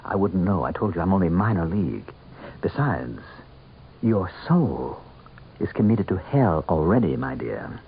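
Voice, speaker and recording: A man's voice: low-pitched, very animated, unhurried, vocal fry, exaggerated voice. An actor playing a suave, mocking minor demon who passes for a man, with urbane, theatrical politeness in a radio mystery drama. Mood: suave, sardonic. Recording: muffled, band-limited old radio broadcast with noise.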